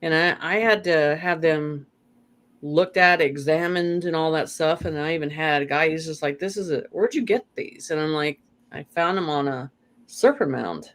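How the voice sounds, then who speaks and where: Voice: medium pitch, moderate pace, fairly bright tone. A guest in a casual spiritual conversation, rambling along with lots of "you know" and restarts.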